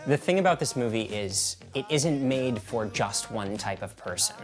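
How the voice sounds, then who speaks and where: A filmmaker speaks in a confident, upbeat promotional voice-over. A guitar is clearly heard playing under the medium-pitched voice. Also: animated, normal speed.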